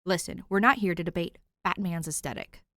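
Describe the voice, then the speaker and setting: A woman's voice: on the low side, brisk, a bit bright, no room sound, natural voice. A woman voicing an exasperated, protective young male masked vigilante, weary and dry, in an audiobook story. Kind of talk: audiobook character dialogue.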